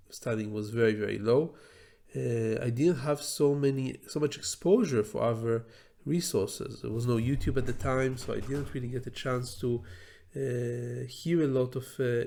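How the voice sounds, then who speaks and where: A middle-aged man, a native Hebrew speaker from Israel, giving a slow, thoughtful solo talk on learning English, with frequent pauses and fillers. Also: mid-range voice, gravelly, warm tone. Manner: thoughtful, many fillers and restarts, slow deliberate phrasing. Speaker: law professor teaching English learning tips. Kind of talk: solo educational talk to camera.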